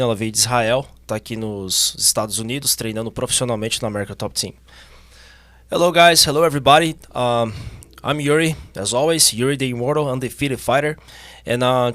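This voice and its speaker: Young guy hosting a podcast, lively, mid voice, dead dry sound. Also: mid-range voice, dry recording. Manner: lively, loud greetings, halting English. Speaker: podcast host. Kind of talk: casual interview chat.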